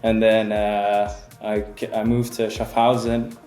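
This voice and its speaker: A man in his twenties, a music producer, chatting casually in an interview and often pausing with fillers and restarts. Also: fairly low pitch, flat delivery, a little mellow, echoey room. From England. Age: 20s. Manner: hesitant, many fillers and restarts.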